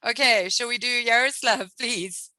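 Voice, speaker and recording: Bright-voiced woman teaching English online. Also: a little high, unhurried, dry recording. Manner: patient, encouraging, explains words with examples. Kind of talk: online English lesson with students.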